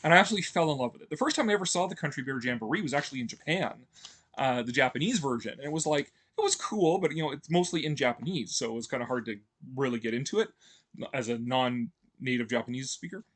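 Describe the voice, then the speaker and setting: Male video host, mid pitch, loose unscripted delivery full of fillers and restarts. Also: expressive, fast, fairly bright tone. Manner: rambling, heavy on fillers and restarts; chuckles often. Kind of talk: casual enthusiastic commentary.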